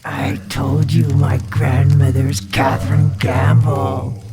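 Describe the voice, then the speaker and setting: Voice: mid-range voice, level tone, slow pace, over loud music. A performer voicing a possessed girl confessing in a menacing demonic voice in an audiobook. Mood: ominous. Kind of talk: audiobook acting.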